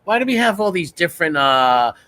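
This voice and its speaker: A man's voice: on the high side, sing-song, fairly quick, bright, forceful tone. A man in his forties hosting a casual political chat, blunt and rhetorical, often tagging "right?" onto his points. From United States. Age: 40s.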